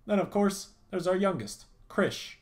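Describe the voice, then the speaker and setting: A man with a raspy voice, voicing an over-eager, cheerful suburban dad neighbor in a dating game. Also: high-pitched, lively intonation, a little slow, pitched above the performer's own voice. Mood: cheery. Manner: beaming, over-eager welcoming.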